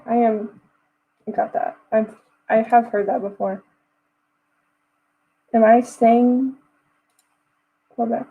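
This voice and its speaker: A woman's voice: a little high, mellow, slightly rough, rough recording. A woman of twenty-one from Texas, chatting casually with her livestream viewers and answering their messages as they come in. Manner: chatty, reads chat messages aloud and answers them. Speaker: livestream host.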